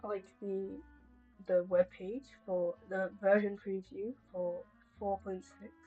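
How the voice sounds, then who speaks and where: A woman game streamer with a husky voice, chatting casually in live stream commentary. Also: medium pitch, little pitch movement, slow pace, phone-quality sound. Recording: stream with music behind.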